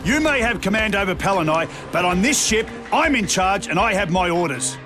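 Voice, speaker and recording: High-voiced, firm army officer. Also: male voice, expressive, brisk. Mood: assertive. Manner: formal, authoritative. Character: firm, by-the-book male army lieutenant colonel. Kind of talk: video game cinematic acting. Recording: music behind the voice.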